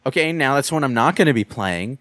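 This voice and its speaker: A man giving casual game commentary in conversation, with halting delivery and repeated starts. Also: medium pitch, expressive, fairly bright tone, no echo.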